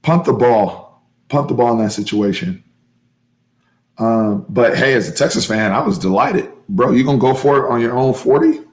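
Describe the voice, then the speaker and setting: A hoarse man hosting a live fan stream, recapping a football game in an excitable, emphatic way and repeating his points. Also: mid-range voice, moderate pace. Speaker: host of a fan sports channel.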